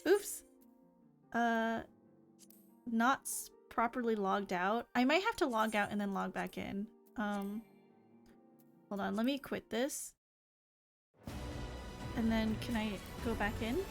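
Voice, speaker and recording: A woman's voice: on the high side, takes it slowly, long pauses, soft background music. A woman live-streaming a game, chatting casually in a playful, rambling manner. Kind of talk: casual livestream chat, reading game dialogue aloud.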